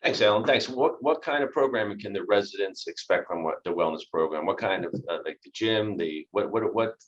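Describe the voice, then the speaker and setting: Deep-voiced middle-aged man hosting a video call. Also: brisk. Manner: repeats words, upbeat thanks. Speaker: host introducing staff. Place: small office. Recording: dull video call audio.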